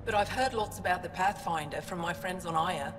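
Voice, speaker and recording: An actor playing an easygoing wandering alien trader who talks in a relaxed, breezy way. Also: mid-range voice, brisk, noisy background, natural voice. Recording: echoey game audio with ambience.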